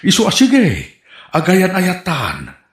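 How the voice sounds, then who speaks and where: A man playing an excitable village uncle in an Ilocano radio comedy drama, with an Ilocano accent and theatrical delivery. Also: sits high, heavily acted. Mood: smitten, giddy. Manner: theatrical, drawn-out, swooping. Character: lovesick, excitable middle-aged village uncle. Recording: roomy, reflective sound.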